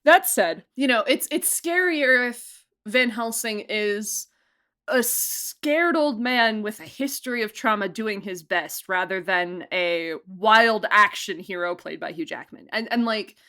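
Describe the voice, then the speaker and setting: A woman's voice: mid-range voice, expressive, a little slow, pushed and bright. A young woman who produces and directs, chatting in a podcast interview, laughing often and talking with lots of fillers and restarts.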